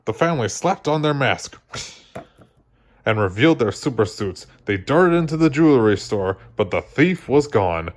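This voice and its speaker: Animated man reading a storybook. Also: medium pitch, moderate pace. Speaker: storybook reader. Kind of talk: expressive read-aloud narration.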